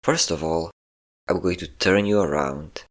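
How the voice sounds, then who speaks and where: A man's voice: low voice, measured pace. A man voicing a possessive, sadistic and flirtatious vampire captor in an intimate roleplay audio drama, teasing and commanding. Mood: taunting, playful. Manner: teasing, commanding, theatrically smug.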